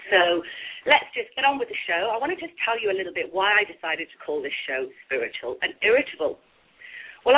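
A woman with a head cold, hosting her own radio talk show as a life coach, chatty, upbeat and coaxing, with a husky, blocked-up voice. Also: lowish voice. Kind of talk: scripted solo radio talk. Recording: tinny phone-like line.

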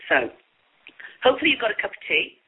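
A woman with a head cold, hosting her own radio talk show as a life coach, chatty, upbeat and coaxing, with a husky, blocked-up voice. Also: mid-range voice, big pitch swings, fast. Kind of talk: scripted solo radio talk. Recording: tinny phone-like line.

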